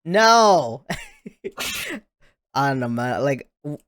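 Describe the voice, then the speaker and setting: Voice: mid-range voice, very animated, drawn-out words, fairly bright tone, no echo, studio quality. A stream host making casual chat, chatty and giggly, asking teasing questions.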